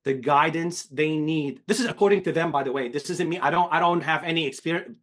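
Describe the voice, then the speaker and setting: Middle-aged man from Syria, animation director type; candid and emphatic, full of repeats, voice sits a bit high. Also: fairly quick, a bit bright. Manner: emphatic, repetitive restarts, swears. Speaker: animation director, podcast guest. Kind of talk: candid interview talk.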